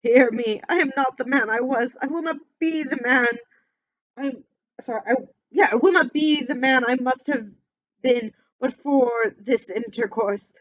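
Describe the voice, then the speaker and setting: Woman doing a terrified old miser, high and loud, begging; dull dry reading. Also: a little slow, dry recording. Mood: desperate. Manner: desperate, shrill, cries out. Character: frightened pleading old miser, male. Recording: dull lo-fi audio.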